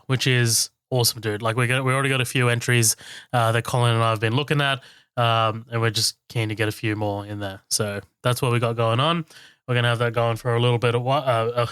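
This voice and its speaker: A man's voice: medium pitch, fairly bright tone, clear, resonant tone, no echo, studio quality. A man co-hosting a casual podcast chat, laid-back and swearing freely. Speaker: podcast co-host.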